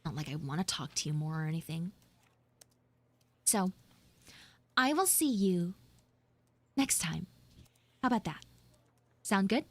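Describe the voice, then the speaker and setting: A woman's voice: on the low side, expressive, measured pace, long pauses, no room sound, voice pushed down. A woman voicing a flustered, shyly smitten tsundere schoolgirl in a live audio roleplay, hesitant and coyly defensive. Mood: reluctant, coy.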